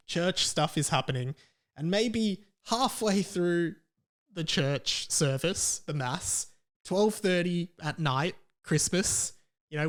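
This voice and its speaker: A man hosting a podcast, telling a personal story casually and comedically, with dramatic emphasis and repetition. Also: high voice. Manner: casual swearing. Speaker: podcast host.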